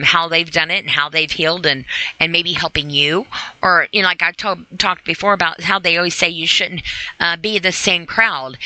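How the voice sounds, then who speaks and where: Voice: medium pitch, bright, forceful tone, no room sound, rough recording. A podcast host reading a self-help list aloud with informal commentary, blunt, repeating phrases for emphasis and putting rhetorical questions straight to the listener.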